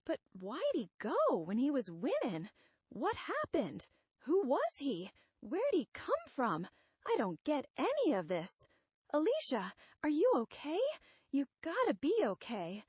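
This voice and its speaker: Hulking monster hero, played by a woman: street slang, tough but tender, swooping pitch, comic-book story read aloud. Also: wildly swooping pitch, unhurried, darkish tone, husky, dry recording, heavily acted. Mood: bewildered, worried. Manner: slangy tough-guy talk with dropped grammar.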